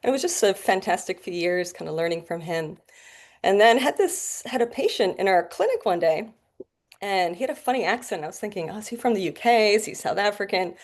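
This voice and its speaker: A woman's voice: mid-range voice, lively intonation, a little fast. A woman in her forties from the Bahamas with a North American accent, a guest doctor telling her story in a chatty, laughing way. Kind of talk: casual interview chat.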